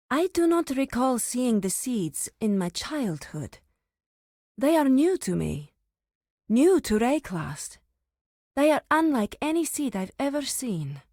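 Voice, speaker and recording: A woman playing a reverent, solemn druid of an ancient tribe in a fantasy video game, speaking formally with deliberate pauses. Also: fairly high pitch, a little mellow, no room sound, studio quality, heavily acted. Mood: reverent wonder.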